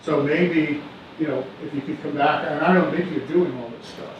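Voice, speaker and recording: A man's voice: fairly high pitch, steady tone, normal speed, highs cut off. A man at a public meeting making a persuasive suggestion, hedging with fillers. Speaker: resident commenting. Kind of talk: public comment. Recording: echoey room, distant, noisy.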